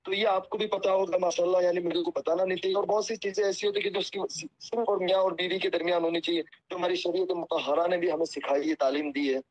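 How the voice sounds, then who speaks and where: Male cleric, slightly high voice, humble and apologetic, devout phrasing, earnest phone talk on a dull lo-fi recording. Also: steady tone, quick pace, few pauses. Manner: deferential, apologetic; pious, peppered with religious phrases. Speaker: Islamic cleric. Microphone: phone call. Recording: muffled lo-fi phone call recording.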